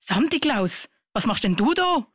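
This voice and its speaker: A woman's voice: on the high side, very animated, unhurried, slightly rough, no echo, studio quality. A woman hosting a radio show in a scripted presenting style.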